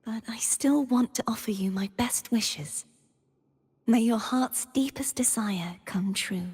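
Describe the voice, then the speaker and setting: A young maiden knight for a fantasy game, wistful yet quietly resolute. Her voice is fairly high and airy, with soft, measured delivery. A long reverb trails behind her words. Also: exaggerated voice. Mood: warm, tender. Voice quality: breathy. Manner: soft, measured, formal storybook phrasing. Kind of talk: scripted game character voice lines.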